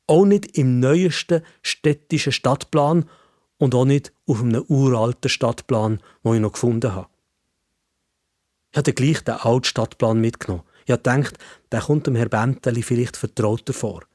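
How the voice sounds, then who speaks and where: A man's voice: mid-range voice, normal speed, darkish tone, no room sound, clean studio sound. A man with a Swiss German accent narrating a children's story in the first person, in simple, conversational phrasing.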